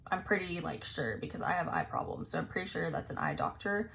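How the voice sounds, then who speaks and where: A woman's voice: lowish voice, huge pitch swings, fairly quick, gravelly, fairly bright tone. A young woman chatting casually as a beauty vlogger, her voice a little scratchy from talking a lot, rambling with plenty of "like" and reading product blurbs aloud. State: slightly scratchy, tired voice. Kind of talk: casual chat reviewing new makeup releases.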